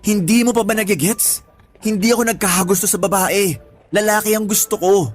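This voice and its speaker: Pleading young Filipino man, highish voice. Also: fairly even intonation, brisk. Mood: earnest, confessing. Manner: earnest, pleading. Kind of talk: Tagalog radio drama acting.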